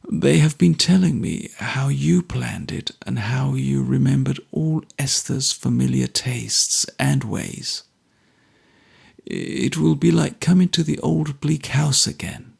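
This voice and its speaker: A man voicing a gravely ill young man who speaks slowly, faintly and earnestly. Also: mid-range voice, heavily acted. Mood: weak, tender.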